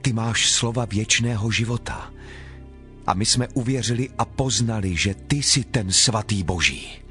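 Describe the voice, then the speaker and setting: Man acting devoted male disciple, reverent, earnest, fairly low voice, scripture drama, soft music behind. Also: close to an everyday voice. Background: faint background music.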